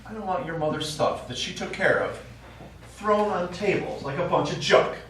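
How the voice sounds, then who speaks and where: A forgetful old widowed father and ex-soldier, played by a man in a live stage play, rambling nostalgically. His voice is a little high for a man and very animated. It is caught from far off in a very echoey theatre. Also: normal speed. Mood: indignant. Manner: wistful, rambling storytelling. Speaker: stage actor. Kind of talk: live one-act stage play acting. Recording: very echoey theatre, distant mic, faint noise.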